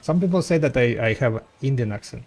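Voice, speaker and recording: A man whose first language is Russian, with a light Russian accent, chatting with viewers as a game streamer and trailing off in halting restarts. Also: medium pitch, lively intonation, a little mellow. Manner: rambling, halting, many restarts. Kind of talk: casual chat with viewers.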